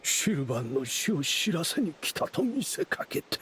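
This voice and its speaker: A woman's voice: deep voice, big pitch swings, moderate pace, a bit bright, a little hiss or hum. A woman acting in a Japanese game dub as a bitter, vengeful woman. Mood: bitter realisation.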